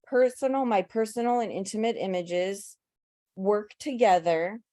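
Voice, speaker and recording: A woman speaking as an interview guest in an earnest, unhurried way. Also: mid-range voice, warm tone, no echo, studio quality. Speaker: guest, photographer's subject. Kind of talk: casual interview talk.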